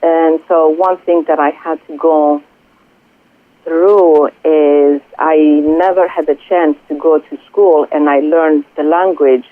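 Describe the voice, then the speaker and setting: A woman from Greece with a Greek accent, a spiritual coach speaking earnestly and haltingly as a guest on a radio interview. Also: sits low, fairly even intonation, dry recording. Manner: earnest, halting, many pauses and fillers. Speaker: spiritual coach and Reiki healer. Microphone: telephone. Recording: tinny phone line, dry.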